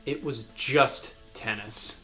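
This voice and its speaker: A man's voice: medium pitch, slow, pushed and bright, soft background music. A man delivering scripted comedic sketch commentary in an exasperated, sarcastic way. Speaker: comedy video host.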